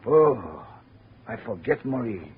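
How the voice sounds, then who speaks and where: An excitable French steelworker. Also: male voice, medium pitch, slow, a little hiss or hum. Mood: effusive. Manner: broken English, emotional, flaring into anger. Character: excitable middle-aged male French steelworker. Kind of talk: 1950s radio drama acting. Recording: muffled old radio broadcast.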